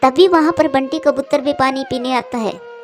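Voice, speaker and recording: A woman's voice: high voice, moderate pace, darkish tone, faint music behind. A woman narrator reading a scripted children's cartoon story.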